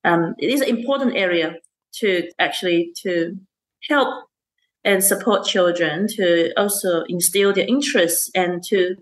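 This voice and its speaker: A woman's voice: lowish voice, unhurried, low-quality recording. Woman answering with many fillers. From Malaysia. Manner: hesitant, many fillers and restarts, laughs often. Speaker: STEM teacher and councillor. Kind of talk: casual interview answers.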